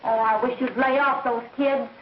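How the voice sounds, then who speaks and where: A woman's voice: on the high side, fairly even intonation, moderate pace, natural voice. An actress playing a weary, grumbling, slangy woman who runs a party apartment, in 1930s film acting on an old soundtrack. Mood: reproachful. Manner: complaining, slangy. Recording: noisy dull 1930s film soundtrack, roomy.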